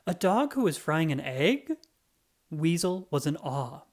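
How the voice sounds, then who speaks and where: A storybook narrator with a mid-range voice reads aloud to children. The delivery is expressive and dramatic, with pitch that swings freely through the phrases. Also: a little slow. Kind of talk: animated scripted storybook read-aloud.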